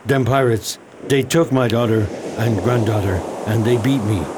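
A battered but determined old guide, acted by a man in radio theater. He speaks in simple phrases at a middling pitch, with clear ambient noise around him. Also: normal speed, close to an everyday voice. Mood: wounded resolve. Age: older. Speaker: actor in a radio play. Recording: noisy outdoor ambience behind voice.

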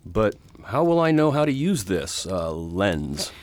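Male actor for earnest middle-aged galactic patrolman, lower register, courteous, radio drama. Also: very animated, measured pace. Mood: amazed, puzzled. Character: earnest middle-aged space lawman, courteous, awed explorer. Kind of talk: science fiction radio drama acting.

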